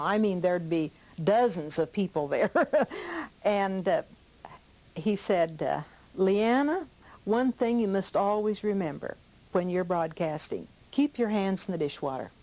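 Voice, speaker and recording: A woman's voice: on the low side, a little slow, mellow, poor audio. An older woman being interviewed, casually reminiscing in a conversational, anecdotal way. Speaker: interviewee recalling family memories.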